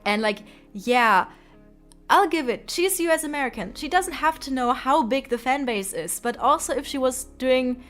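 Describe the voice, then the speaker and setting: A woman's voice: fairly high pitch, a bit bright. A woman giving opinionated, casual video commentary in an informal, emphatic way with some swearing. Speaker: video essayist.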